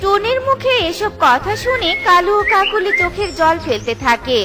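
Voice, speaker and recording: A woman narrates a children's cartoon tale from a script. Her voice is extremely high, and music plays clearly behind her. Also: big pitch swings, moderate pace.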